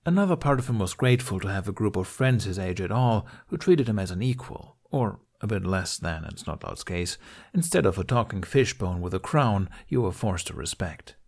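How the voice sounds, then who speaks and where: A man reading a fantasy story aloud in an expressive audiobook style. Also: fairly low pitch, moderate pace. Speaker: fan fiction narrator.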